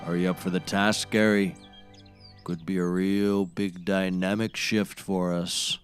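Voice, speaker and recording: A man's voice: sits low, level tone, unhurried, faint music behind. An actor playing a grandiose woodsman obsessed with seafaring, declaiming nautical bombast in a scripted comedy. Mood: grandly eager. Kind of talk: scripted comedy audio acting.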